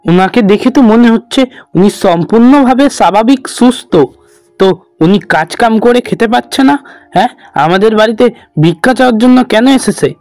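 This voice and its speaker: A man's voice: high-pitched, brisk, a little mellow, dry recording, faint music behind. A man voicing a blunt young man who rudely questions a beggar. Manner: blunt, rude.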